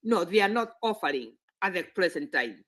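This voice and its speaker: A woman's voice: fairly low pitch, very animated, normal speed, fairly bright tone. A retired woman from Kenya calling in to ask about a loan, with nervous laughs between her sentences. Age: older. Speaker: caller. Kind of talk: live call-in chat.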